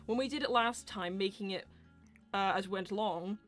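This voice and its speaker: A woman's voice: mid-range voice, normal speed, fairly bright tone, faint music behind. A woman hosting a live roleplay stream, chatting casually and candidly as she answers questions in rambling explanations. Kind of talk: casual livestream Q&A chat.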